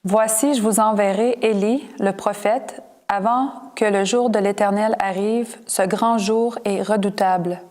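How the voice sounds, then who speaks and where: A woman of middle pitch reads scripture aloud for a study group. Her delivery is plain and even. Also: unhurried. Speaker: congregation member reading scripture. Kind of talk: scripture reading and short answers.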